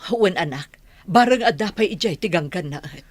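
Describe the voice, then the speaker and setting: A worried mother in a radio drama. Her voice is husky and a little low. The recording is dry and close. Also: expressive, moderate pace, dry recording. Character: worried mother reporting bad news. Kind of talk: Ilocano radio drama acting.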